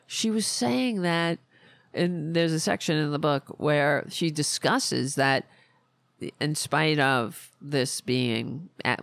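A hoarse woman hosts a political podcast, speaking slowly with a gravelly, creaky edge to her voice. She rambles, swears and loses her train of thought. The sound is dry and close. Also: deep voice, no echo. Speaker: podcast host. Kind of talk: casual political rant.

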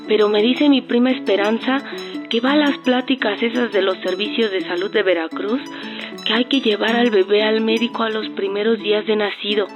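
A woman's voice: fairly high pitch, lightly acted. A woman playing a worried, folksy new mother calling a helpline in a radio promo, with a Mexican accent and colloquial speech. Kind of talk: scripted radio promo dialogue. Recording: dull, band-limited caller sound.

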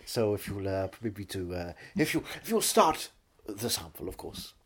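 A Welsh man trading absurd jokes and deadpan boasts in comic banter. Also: low voice, moderate pace, husky. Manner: playful, deadpan boasting, silly wordplay.

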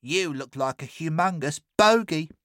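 A man's voice: on the high side, expressive, measured pace, a bit bright, dry recording, studio quality, voice pushed up. A man voicing Tom, a boastful, cheeky young boy who loves being gross. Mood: teasing. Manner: bragging, cheeky.

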